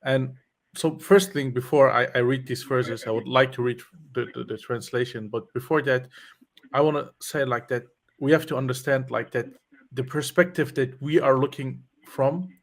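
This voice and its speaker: A man joins a religious discussion as a co-host, his voice in an everyday middle pitch. He begins haltingly, stacking "uh" and "like", then settles into a steadier explanation. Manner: hesitant start with many "uh" and "like", then steadier. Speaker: co-host and speaker.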